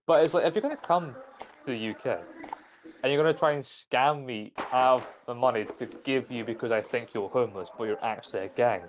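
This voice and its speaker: A young Scottish man ranting on a casual live stream. He tells stories at length with plenty of swearing, at a pitch that is ordinary for a man. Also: a little fast, a little hiss or hum, rough recording. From Scotland. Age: young adult. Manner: ranting, profane, animated storytelling. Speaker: university student streamer.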